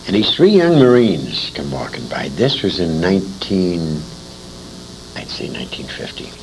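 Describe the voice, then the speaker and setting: Older American man, deep gravelly storyteller. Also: huge pitch swings, moderate pace, a little hiss or hum, rough recording. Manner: salty, emphatic, repeats phrases for effect. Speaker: interview guest, old-time radio veteran. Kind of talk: animated anecdotal storytelling.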